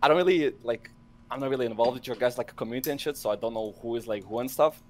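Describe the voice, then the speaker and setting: A laid-back young man rambles with lots of fillers as a guest on a video call. He talks at a brisk pace in a middle-pitched voice. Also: a little hiss or hum. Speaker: guest streamer. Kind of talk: casual group video call.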